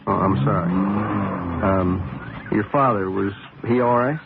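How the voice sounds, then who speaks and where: Troubled racing driver, old radio. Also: male voice, lowish voice, takes it slowly, creaky. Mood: incredulous. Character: irritable, troubled male racing driver, haunted and defensive. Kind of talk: old radio drama acting. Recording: noisy narrowband old radio broadcast.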